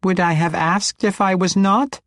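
A man voicing a tiny baby girl in a squeaky voice, speaking with imperious, sophisticated adult diction in a fantasy audiobook. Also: fairly even intonation, unhurried, a bit bright, dry recording, studio quality. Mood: impatient, taunting. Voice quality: squeaky, babyish.

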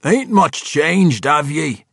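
A grizzled old dwarf veteran. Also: male voice, a little high, lively intonation, slow pace, gravelly, husky, no room sound, clean studio sound, voice pushed up, heavily acted. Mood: accusing.

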